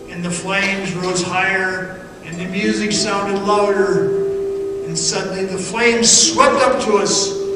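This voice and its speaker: Haunted, fervent male storyteller, radio drama, slow delivery, distant in a reverberant hall. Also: high-pitched. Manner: slow, halting, intense storytelling. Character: awestruck man haunted by a fiery vision, fervently recounting it. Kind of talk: dramatic radio theatre monologue. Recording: echoey hall from afar, music underneath.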